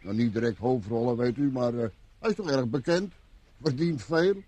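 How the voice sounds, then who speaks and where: An actor in a Dutch radio play as a folksy gardener, informal and garrulous. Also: male voice, mid-range voice, normal speed, warm tone, slightly rough, natural voice. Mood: chatty. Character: chatty, folksy male gardener.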